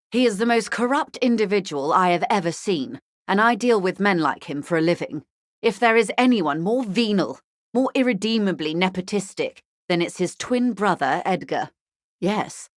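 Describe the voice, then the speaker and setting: An actress playing a poised, well-bred corporate negotiator in a video game, speaking in an articulate, measured, drily ironic way. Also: female voice, fairly low pitch, dry recording, studio quality, natural voice. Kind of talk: scripted video game dialogue.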